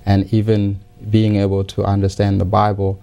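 A man in his forties from South Sudan, English not his first language, telling his life story in an interview with many pauses and fillers. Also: fairly low pitch, completely flat delivery, creaky, warm tone. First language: not English; English learned as second language. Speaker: interview guest. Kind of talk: personal testimony in interview.

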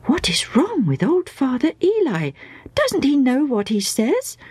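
Woman voicing an earnest, higher-pitched young boy. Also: big pitch swings, a little slow, a little mellow, husky, dry recording. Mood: puzzled, wondering. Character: earnest, puzzled young boy, eager and reverent. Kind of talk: dramatised children's Bible storytelling.

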